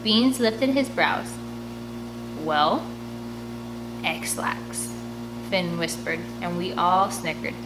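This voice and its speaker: A woman's voice: lowish voice, a little slow, few pauses, heavy background noise. A woman reading a children's novel aloud in the first person, expressively, acting out the dialogue. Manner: animated, acts out dialogue. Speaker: audiobook reader.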